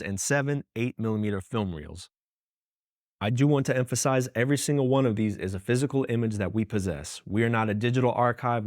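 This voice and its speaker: A man's voice: lowish voice, no echo, studio quality. A young man from the United States narrating a scripted voice-over as an archive founder and host, earnest and conversational with casual asides.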